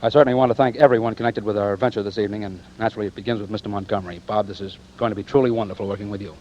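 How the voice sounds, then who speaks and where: An out-of-breath man, the show's producer, talks very fast and hurriedly in a lowish voice. He is heard from a distance on a dull, lo-fi 1940s radio broadcast with faint hiss. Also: fairly even intonation, a little mellow. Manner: hurried, thankful. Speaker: producer and director.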